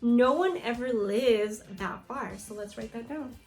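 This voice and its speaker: A woman's voice: fairly high pitch, a little slow, music in the background. A woman teaching young children through a read-aloud lesson, reading from a book and prompting pupils with questions and short instructions. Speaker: second-grade teacher.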